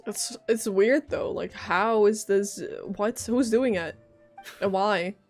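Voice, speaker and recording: Female streamer, average pitch, casual chatty gameplay commentary with soft game music behind. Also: slow. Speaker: live streamer playing a game.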